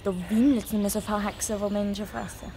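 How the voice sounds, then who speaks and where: A woman playing a naive, scared lost child in a children's fairy-tale audio drama, with a childlike, excitable manner, in noisy forest ambience. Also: mid-range voice, fast talker, natural voice. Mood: wary.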